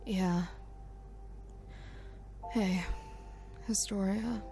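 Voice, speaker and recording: A woman's voice: medium pitch, fairly even intonation, very slow, gravelly, warm tone, faint music behind. A woman playing a protective, devoted young survivor in an audio drama, speaking bluntly and tenderly with intensity. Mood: wistful. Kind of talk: amateur audio drama acting.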